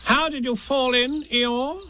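A man voicing an officious, solemn rabbit in a storybook, brisk and self-important. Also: very high voice, steady tone, background noise, voice pushed up. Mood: questioning. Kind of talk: storybook character reading.

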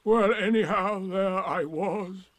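A man's voice: sits high, takes it slowly, no echo, pitched above the performer's own voice. A man voicing a gloomy, sulky old male donkey in a storybook reading, with a mournful, sarcastic drawl.

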